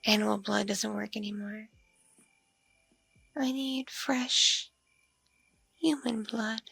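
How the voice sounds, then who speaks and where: Woman acting a slow, husky, creaky vampire seductress. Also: medium pitch, steady tone, sharp tone, exaggerated voice. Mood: hungry, seductive. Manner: slow, teasing, hesitant hums and pauses. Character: seductive, hungry mature vampire mother, playfully condescending. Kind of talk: intimate ASMR audio roleplay.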